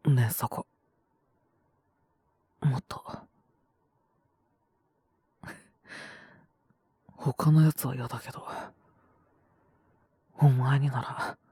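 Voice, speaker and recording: A man voicing a possessive, teasing young half-human wolf man with a cold, in an intimate romantic audio roleplay, breathy and rough-spoken. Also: mid-range voice, steady tone, slow, pauses often, dark tone, no room sound. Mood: needy, sly. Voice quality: husky, breathy, often near a whisper. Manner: languid, rough casual speech, teasing. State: sick with a cold, coughing (acted).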